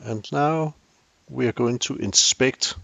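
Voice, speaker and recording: A man's voice: mid-range voice, slow, gravelly, a bit bright, no echo. An older Danish man with a Danish accent, hosting a camera tutorial with dry, self-deprecating humor. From Denmark. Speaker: tutorial host.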